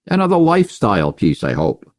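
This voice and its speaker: A gruff, middle-aged tabloid boss with a nasal mobster delivery, played by a male audiobook narrator. The sound is dry and close, with swooping inflection. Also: fairly low pitch, wildly swooping pitch, a little fast, darkish tone, no room sound. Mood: demanding, disgusted. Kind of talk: audiobook character acting.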